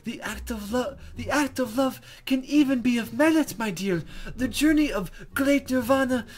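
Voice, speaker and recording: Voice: mid-range voice, normal speed, voice pushed up, close to an everyday voice. A performer voicing a playful, flustered young woman guide from a fantasy visual novel, with stammering, formal, ornate speech. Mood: passionate. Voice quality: put-on, wavering accent.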